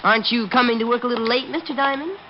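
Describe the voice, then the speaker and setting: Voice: medium pitch, racing, noisy background, close to an everyday voice. A performer playing a plucky, streetwise newsboy in a 1950s radio drama, talking in eager, friendly slang with a stubborn streak. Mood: chirpy, friendly. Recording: dull vintage radio recording.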